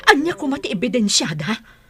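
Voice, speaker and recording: A woman's voice: on the high side, sing-song, fairly quick, bright, forceful tone. An actress playing a frightened woman who pleads and regrets what she did. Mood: anxious.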